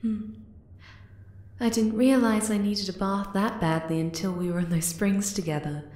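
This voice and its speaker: A woman voicing a shy, flustered young moth-girl lover in an ASMR roleplay, hesitant, tender and soft-spoken. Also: medium pitch, steady tone, moderate pace, roomy sound, close to an everyday voice. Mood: gentle, comforting.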